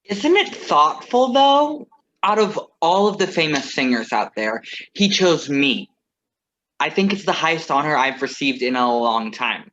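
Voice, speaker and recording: A man's voice: a little high, very animated, measured pace, a bit bright, a little hiss or hum. A young man reading the part of a famous, restless young singer in a virtual staged reading, with a wry, teasing delivery. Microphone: video call. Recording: muffled lo-fi video call audio.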